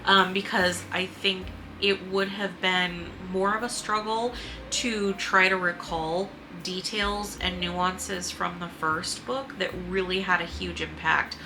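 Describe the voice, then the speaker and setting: A woman talking casually in a book review vlog, informal and a bit halting, often correcting herself and swearing mildly. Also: on the low side, level tone, background noise. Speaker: book reviewer and video host.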